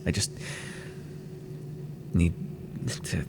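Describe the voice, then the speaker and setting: A man playing an ancient, brooding immortal villain in an intimate fantasy audio roleplay, with a halting, dramatic, gravelly delivery. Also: heavy background noise. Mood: hesitant, brooding. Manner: slow, halting, dramatic delivery with sighs and pauses. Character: ancient immortal villain, brooding, tormented, tender toward beloved mortal.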